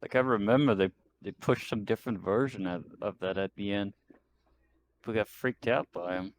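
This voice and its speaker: A male player speaks casually in a roleplaying session. His voice is slightly low. Faint noise sits behind him on the recording. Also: normal speed. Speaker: tabletop game player. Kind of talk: casual in-game discussion.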